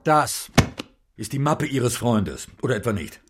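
A stern, husky police inspector. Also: male voice, medium pitch, big pitch swings, soft background music. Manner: slow, deliberate, formal questioning. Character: stern male police inspector, measured and probing. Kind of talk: audio drama acting.

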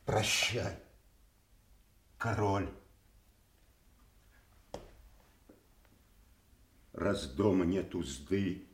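A man's voice: low-pitched, a little mellow. An actor playing a blunt, loyal and fearless nobleman in a theatrical audio drama, solemn, fervent and defiant. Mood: sad, resigned. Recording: roomy, echoing sound.

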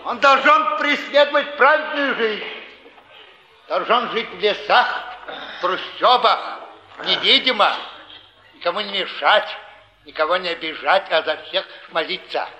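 An actor playing a smug, bullying older flophouse keeper on stage, with a drawn-out, sanctimonious, needling delivery. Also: male voice, high-pitched, expressive, pushed and bright, exaggerated voice. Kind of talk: theatrical stage acting. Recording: dull archival stage recording, long echoing hall.

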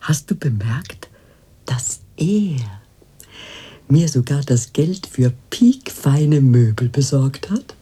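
An older woman playing a cooing, pious, boastful fortune-teller and former madam in a radio drama, with a theatrical, husky delivery. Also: rumbling low, big pitch swings, slow, dark tone, full character voice. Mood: boastful, insistent.

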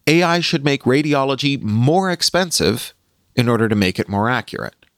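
A man hosting a podcast, reading a scripted opinion column aloud in an articulate, measured and emphatic way. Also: medium pitch, expressive, fairly bright tone, no room sound, studio quality. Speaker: podcast host reading own magazine column.

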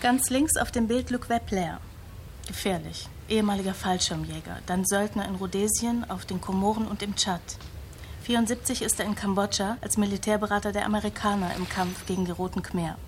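An actress playing a sober, knowing investigative journalist in a radio drama, direct and matter-of-fact. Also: female voice, medium pitch, steady tone, fairly quick, a little hiss or hum, natural voice. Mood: factual, serious. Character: sober, knowing female investigative journalist, loyal old friend.

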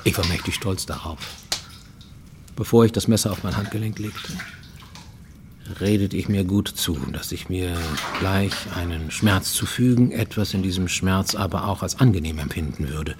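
An actor playing a brooding, lonely man confessing his troubled youth in a radio play, halting, intense and confiding, often close to whispering. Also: low-pitched, background noise, natural voice. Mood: intense, haunted. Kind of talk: dramatic first-person monologue in a radio play. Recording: studio radio play with music underneath.

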